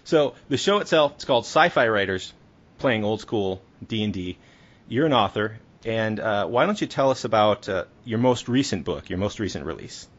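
Low-voiced male podcast host on dull audio. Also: lively intonation, normal speed. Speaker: podcast host and interviewer, a writer. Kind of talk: casual podcast interview.

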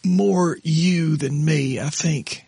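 A middle-aged pastor, hoarse and raspy tonight, talking haltingly with his wife on their podcast. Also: a little high, warm tone, dry recording. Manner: halting, restarts often when moved. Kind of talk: casual conversation with his wife.

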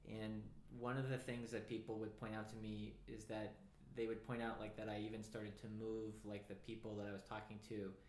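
A man's voice: fairly low pitch, flat delivery, fairly quick, few pauses, a little mellow, a little hiss or hum. A man hosting a casual interview, talking in a loose, rambling way full of restarts. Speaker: podcast host. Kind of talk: casual conversation. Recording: roomy echo.

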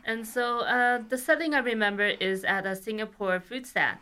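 A woman's voice: on the high side, steady tone, normal speed, bright, forceful tone, clear, resonant tone. A woman radio host asking brief, plain interview questions.

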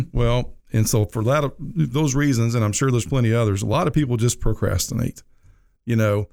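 Gravelly-voiced folksy radio host. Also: male voice, medium pitch, moderate pace, darkish tone. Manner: folksy, rambling, frequent "you know". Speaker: radio show host, financial advisor. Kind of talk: casual radio talk.

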